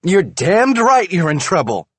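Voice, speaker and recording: A man's voice: fairly high pitch, animated, slow pace, slightly rough, no echo, pitched above the performer's own voice, heavily acted. A man voicing a betrayed wife in a screaming, tearful rage in an audiobook. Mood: furious. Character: furious betrayed wife, shrieking, adult woman. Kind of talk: audiobook character voice.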